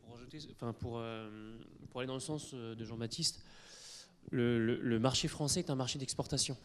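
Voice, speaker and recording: A man of Italian origin speaking as a conference panelist in a university lecture hall, explaining things hesitantly with many pauses and restarts. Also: medium pitch, steady tone. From Italy. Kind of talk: explanatory talk to an audience.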